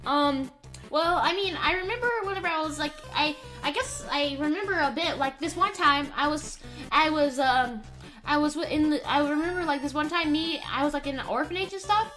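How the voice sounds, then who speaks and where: A woman's voice: high voice, normal speed, pushed and bright, faint music behind. A woman doing in-character roleplay commentary on a video game, hesitant and stammering as she talks.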